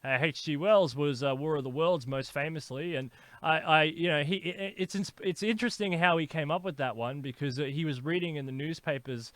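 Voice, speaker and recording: A male podcast host asks long, animated questions, stumbling over his words and restarting often. His voice sits a little high for a man, and the sound is dry and close, with no room in it. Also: brisk, no echo. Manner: rambling, stammering, animated questions. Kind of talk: interview questions.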